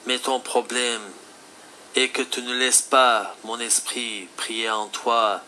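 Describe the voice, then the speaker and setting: Slow, piercing man playing a solemn Jesus. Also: mid-range voice, level tone, bright, forceful tone, slight background noise, poor audio. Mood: stern admonishing. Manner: solemn, admonishing, deliberate. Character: Jesus on the phone, stern fatherly divine teacher. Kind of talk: scripted religious dialogue.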